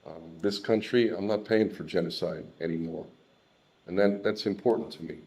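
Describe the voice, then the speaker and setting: An American man from Southern California giving an unscripted personal testimony, speaking in a halting, reflective way with repeated words. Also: low voice, a little mellow.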